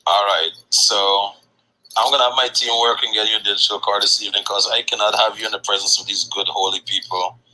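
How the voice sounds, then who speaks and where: A man hosting a sales session, giving a brash motivational pitch and teasing his guests, with casual cursing. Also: steady tone, brisk, pushed and bright, slight background noise, rough recording.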